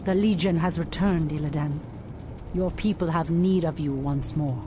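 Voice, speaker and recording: A woman's voice: sits low, normal speed, noisy background, lightly acted. An actress playing a resolute female elf priestess and leader in a video game cinematic, earnest and commanding.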